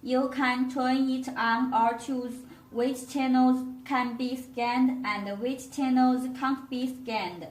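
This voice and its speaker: A woman giving a step-by-step product tutorial as a demonstrator, with halting non-native phrasing. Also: sits high. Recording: roomy echo, distant microphone.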